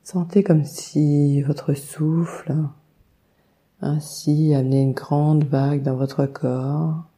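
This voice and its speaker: Very mellow, slow-paced woman guiding meditation. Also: sits low. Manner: calm, soothing, unhurried with long pauses. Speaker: yoga nidra meditation guide. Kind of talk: guided meditation, slow instructions.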